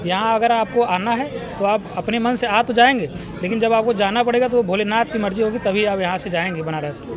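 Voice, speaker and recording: A young man from Varanasi, a graduate, speaking slowly like he is telling a story, outdoors. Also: sits high, music in the background. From Varanasi, India. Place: outdoors at a university convocation. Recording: muffled outdoor recording with crowd noise.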